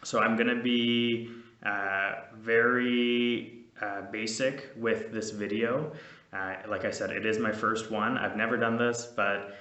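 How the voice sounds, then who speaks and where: A man's voice: fairly low pitch, fairly even intonation, slow, pushed and bright. Nervous young man in an echoey room. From Canada. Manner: nervous, rambling, many fillers and restarts. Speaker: vlogger, veterinary student. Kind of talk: casual to-camera vlog. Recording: echoey room, distant mic.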